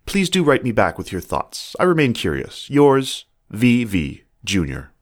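A man's voice: medium pitch, wildly swooping pitch. A man reading as a formal, genteel fox. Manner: formal, measured, old-fashioned. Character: genteel, earnest fox writing love letters. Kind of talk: reading letters aloud from a story.

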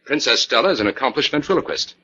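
A man's voice: medium pitch, lively intonation, racing, pushed and bright, breathy edge, exaggerated voice. An actor playing a confident, analytical male scientist-detective, explaining with authority in 1940s radio style. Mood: assured. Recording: dull 1940s radio recording.

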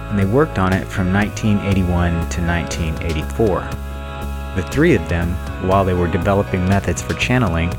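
A man hosting a podcast, giving a measured, explanatory introduction in a scripted style. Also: sits low. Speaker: podcast host.

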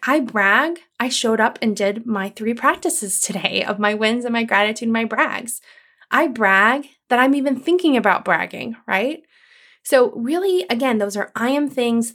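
A woman hosting her own podcast as a coach, talking to listeners in an upbeat, encouraging, conversational way with a calming voice. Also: on the high side. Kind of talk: solo podcast episode.

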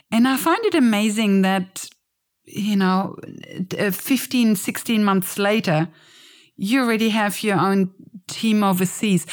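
A woman hosting a podcast, introducing the episode and asking curious, brisk questions. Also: mid-range voice. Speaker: podcast host. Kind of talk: hosting and interviewing.

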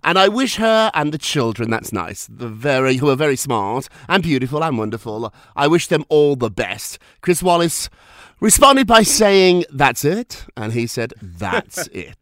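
A man's voice: a little high, sing-song, unhurried, a bit bright, no echo, studio quality. A man hosting a gossip podcast, chatty and theatrical, with a slight cold. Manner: chatty, laughs often, theatrical asides. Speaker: podcast host. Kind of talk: casual gossip chat.

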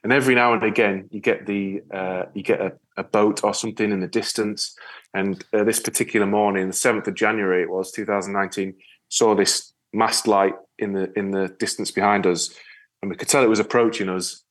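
A man from Yorkshire with a northern English accent, talking casually as an interview guest in a rambling, halting way with repeated words. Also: fairly low pitch, level tone. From Yorkshire, England. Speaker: interview guest, ocean rower and spearfisher. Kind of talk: casual conversational storytelling.

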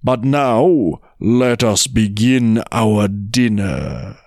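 A man's voice: lowish voice, expressive, gravelly, a little mellow, dry recording, studio quality, heavily acted. Man voicing a growling, bullying storybook tiger. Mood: menacing. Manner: growling, emphatic, slow. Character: savage tiger, male, impatient and bullying. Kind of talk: storybook character reading.

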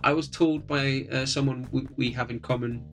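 A man with a soothing voice chats as a podcast guest, relaxed and gently humorous. His voice sits at an ordinary middle pitch. Faint music runs quietly behind him. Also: normal speed. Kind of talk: casual podcast chat.

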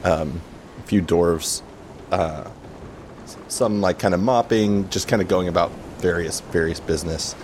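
A man improvising narration as game master, casual and halting as he sets out each scene. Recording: clear steady rumble behind voice.

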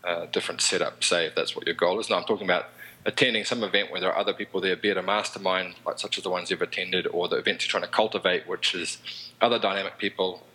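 A man's voice: sits low, steady tone, quick pace, pushed and bright, low-quality recording. A man with a New Zealand accent, a podcast guest talking in a rambling, easygoing way. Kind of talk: casual interview chat.

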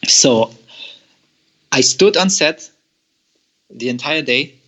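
A young man from Germany, a guest telling a long personal story with halting, repeated words and frequent laughs. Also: mid-range voice, lively intonation, pushed and bright, low-quality recording. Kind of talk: storytelling in an interview.

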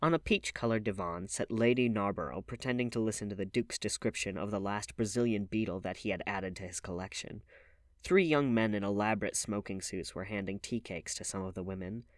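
A man's voice: on the low side, fairly quick, no echo, studio quality. A man reading literary fiction aloud as a scripted narration. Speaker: audiobook reader.

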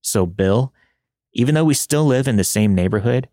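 A man's voice: mid-range voice, expressive, moderate pace, mellow, no echo, studio quality. A man reading scripted narration as the host and storyteller of a horror podcast. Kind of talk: scripted narration of listener-submitted horror stories.

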